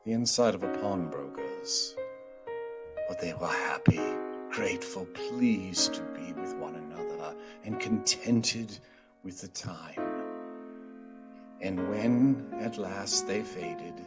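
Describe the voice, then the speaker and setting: A man's voice: deep voice, slow pace. A man narrating a story theatrically, as in a scripted radio play. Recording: music under the voice.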